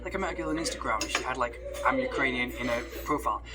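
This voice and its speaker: A man's voice: mid-range voice, very fast, very noisy. A British man vlogging casually while eating, rambling and opinionated with lots of restarts. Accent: British English. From Britain. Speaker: travel vlogger.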